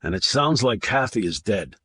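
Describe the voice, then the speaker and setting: Audiobook role: a bitter, desperate dad, read by a man in his own low, husky voice. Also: lively intonation, normal speed, a bit bright, no room sound. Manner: bitter and pleading. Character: desperate, grieving father whose son is kidnapped. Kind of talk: audiobook narration.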